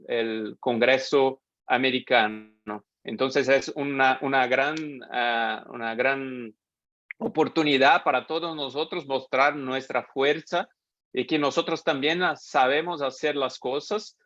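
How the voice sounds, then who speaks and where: A man's voice: mid-range voice, a bit bright, no room sound. A man from Brazil with a Brazilian Portuguese accent, a native Portuguese speaker, thanking his hosts as guest speaker at the end of a webinar, hesitating often and mixing in Portuguese. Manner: halting, many hesitations, mixes Portuguese into Spanish. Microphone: video call. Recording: dull, dry video call audio.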